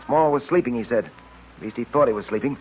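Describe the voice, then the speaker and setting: Shrewd, firm male detective played by an actor, husky and clipped, 1940s radio style, narrow-band sound. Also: medium pitch, fast, lightly acted. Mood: brisk, irritated. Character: brisk, authoritative, shrewd male police detective. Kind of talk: 1940s radio drama acting. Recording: tinny, band-limited old radio recording.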